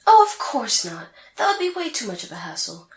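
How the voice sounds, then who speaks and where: Haughty, high-voiced anime sorceress, echoey. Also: female voice, huge pitch swings, brisk. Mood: boastful, haughty. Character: vain, haughty young female sorceress rival from an anime. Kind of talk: comedic anime fan audio drama acting.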